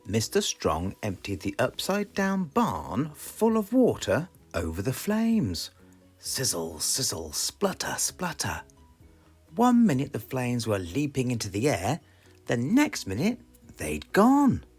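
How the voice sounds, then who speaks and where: A man's voice: medium pitch, huge pitch swings, takes it slowly, slightly rough, faint music behind. A man with a British accent reads a children's storybook aloud dramatically, putting questions to his young listeners. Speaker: storybook reader.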